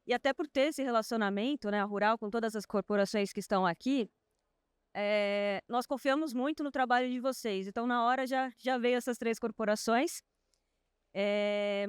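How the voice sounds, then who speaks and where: A woman opens a panel discussion at an event. Her voice is smooth and fairly high, and it sounds dry and close. She talks casually, full of hesitations and self-corrections. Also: dry recording. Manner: hesitant, many fillers and restarts. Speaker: panel moderator. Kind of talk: conversational panel introduction. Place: outdoor stadium event stage.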